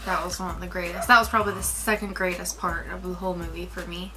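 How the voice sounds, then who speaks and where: A woman's voice: on the low side, little pitch movement, fast, over music. A woman co-hosting a casual movie review, talking informally and enthusiastically with slang.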